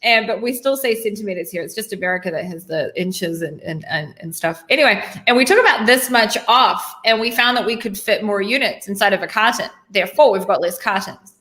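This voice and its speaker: A woman's voice: medium pitch, animated, fairly quick, fairly bright tone. Chatty New woman in an echoey room. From New Zealand. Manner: talkative, rambling, self-correcting. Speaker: host. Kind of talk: casual interview chat.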